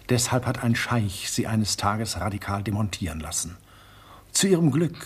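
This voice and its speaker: Casting: a disdainful old-world collector, very husky, pompous radio-play delivery. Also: male voice, medium pitch. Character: haughty misanthropic male antique collector. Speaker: actor in a radio drama. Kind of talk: German radio play acting.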